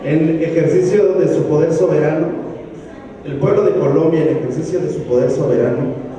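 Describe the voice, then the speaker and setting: A man reading a formal text aloud at a brisk, steady pace, with small slips. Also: a little high. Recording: echoey hall from far off.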